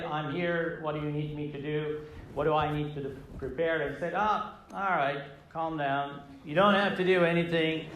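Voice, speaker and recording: A middle-aged man from Turkey with a Turkish accent, telling a personal story on stage, halting with many fillers and restarts. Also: a little high, reverberant, a little hiss or hum, low-quality recording. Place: stage in a hall with a live audience.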